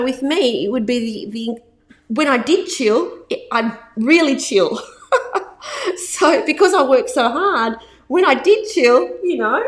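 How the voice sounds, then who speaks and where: A woman in her fifties, a business coach talking casually to camera, stressing points by repeating words and laughing often. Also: fairly high pitch.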